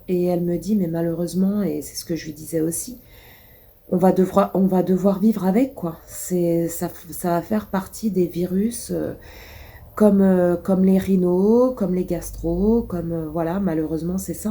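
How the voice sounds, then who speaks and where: A woman's voice: fairly low pitch, moderate pace, dark tone. Stuffy-nosed woman with a cold. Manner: rambling, many hesitations. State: congested, stuffy nose; coughing. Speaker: vlogger. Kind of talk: casual vlog chat.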